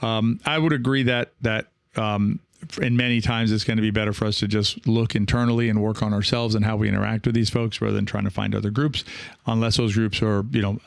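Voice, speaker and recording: Low-voiced man talking casually, close and dry. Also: little pitch movement, brisk, vocal fry, no echo. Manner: hesitant, rambling, reassuring, often checking in with "right?". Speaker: podcast host and experienced pickleball player. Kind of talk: casual solo podcast talk, reading and answering listener comments.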